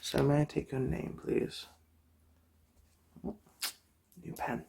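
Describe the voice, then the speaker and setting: A soft-spoken, often whispering person doing an ASMR eye exam roleplay, chatting gently and politely without hurry, close to the microphone. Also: mid-range voice, vocal fry, slight background noise. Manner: gentle, unhurried, polite chatty bedside patter. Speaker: ASMR performer playing an eye doctor.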